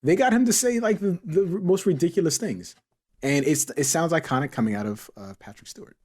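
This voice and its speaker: Male host, slightly high voice, very animated intonation, playful teasing, loose conversational style. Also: brisk. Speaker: podcast host. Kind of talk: casual panel chat.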